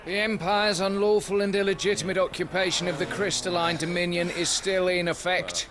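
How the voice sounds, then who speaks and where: A man's voice: high-pitched, fairly even intonation, brisk, close to an everyday voice. Man acting a stern, officious military announcer. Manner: officious, declamatory. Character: stern official soldier announcing border rules. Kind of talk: formal public announcement in a video game.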